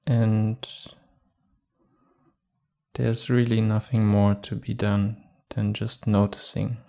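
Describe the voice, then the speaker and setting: A man guiding a meditation calmly and without hurry, leaving long pauses between short phrases. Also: fairly low pitch, gravelly. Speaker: meditation guide. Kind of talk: guided meditation.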